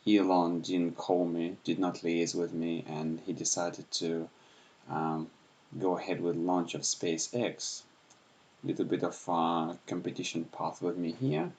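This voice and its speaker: A man from Ukraine with a Russian accent, speaking softly and unscripted at home in the early morning, with many pauses and fillers. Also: low voice. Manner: speaks softly with frequent hesitations. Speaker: YouTuber. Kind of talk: unscripted livestream talk.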